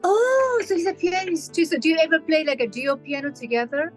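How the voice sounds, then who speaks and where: A Japanese woman pianist interviewing a guest in English, eager and quick with short agreements. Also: very high voice, soft background music. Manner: enthusiastic, lots of short affirmations. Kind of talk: casual interview chat.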